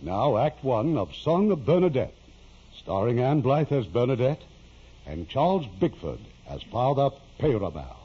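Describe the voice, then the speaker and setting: A man delivering formal, measured scripted narration as the host of an old radio drama. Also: medium pitch, very animated, slight background noise. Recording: dull old radio broadcast with music.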